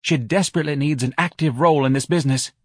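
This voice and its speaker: A man's voice: on the high side, quick pace, slightly rough, no room sound, studio quality, pitched above the performer's own voice. A man voicing a bossy, gushing middle-aged woman who talks as if soothing a child.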